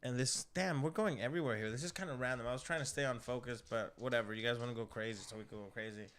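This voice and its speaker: A man in his thirties speaks quickly at an average pitch. His podcast talk is casual and slangy, full of questions to the others and thoughts he drops halfway through. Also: fairly bright tone, smooth. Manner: slangy, questioning, jumps between half-finished thoughts. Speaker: podcast co-host.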